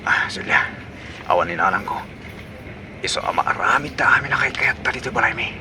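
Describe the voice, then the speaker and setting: An actor playing a pushy, excitable young son and boyfriend in an Ilocano radio comedy drama, speaking with an Ilocano accent. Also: male voice, lowish voice, a little fast, noisy background, natural voice. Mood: coaxing, sly. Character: pushy, excitable young adult son courting his girlfriend.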